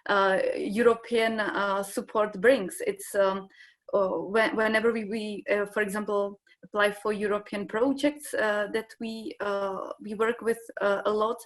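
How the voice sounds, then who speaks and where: A woman speaks English as an on a conference panel. Her pitch sits mid-range for a woman. She hesitates often and fills gaps with "uh" as she restarts her sentences. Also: little pitch movement, measured pace. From Czech Republic. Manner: hesitant, many fillers and restarts. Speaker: conference panelist from a large arts institution. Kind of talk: panel discussion answer.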